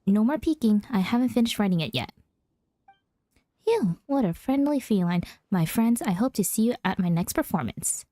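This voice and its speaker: A woman's voice: medium pitch, animated, moderate pace, mellow, dry recording, natural voice. A woman voicing a breezy, encouraging young male bard in a video game, easygoing and playful.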